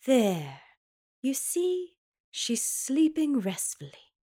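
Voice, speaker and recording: Woman playing a melodramatic lady doctor, swooping pitch. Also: very animated, extremely slow, warm tone, exaggerated voice. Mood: soothing, firm. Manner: melodramatic, pompous. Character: flustered, self-important woman doctor in horror melodrama. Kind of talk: campy 1930s radio drama table read.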